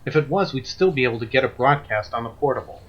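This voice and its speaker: A man acts out a level-headed suburban husband in a radio play. His voice is rough-edged and husky, with very mobile pitch, and he sounds puzzled. The recording is a little dull and lo-fi. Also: animated, fast. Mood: determined.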